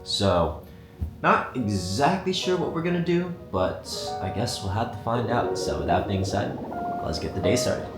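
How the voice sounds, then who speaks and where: Young man vlogging, low voice, roomy. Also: expressive, a little slow. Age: 20s. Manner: casual, candid, swears freely. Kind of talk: reflective personal vlog. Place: apartment. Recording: roomy sound with loud guitar music.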